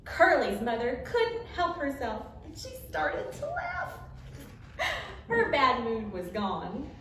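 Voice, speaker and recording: A woman with a high voice reads a children's story expressively. She takes her time, pausing for drama and leaning on key words. The recording is reverberant and a little distant. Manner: expressive, with dramatic emphasis and pauses. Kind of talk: animated picture-book read-aloud for children. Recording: echoey room, distant mic, light noise.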